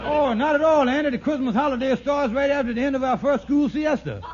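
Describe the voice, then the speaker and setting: An actor playing a fast-talking, scheming, slippery con artist in an old radio sitcom, in folksy ungrammatical speech full of stalling. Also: male voice, very high voice. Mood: smooth bluffing. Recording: noisy, muffled vintage radio recording.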